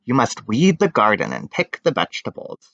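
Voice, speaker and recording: A man's voice: medium pitch, wildly swooping pitch, brisk, vocal fry, fairly bright tone, no echo, dull audio, full character voice. A man voicing a stern old grandmother witch from a storybook, giving firm orders item by item. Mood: stern, instructing. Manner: instructing firmly, item by item.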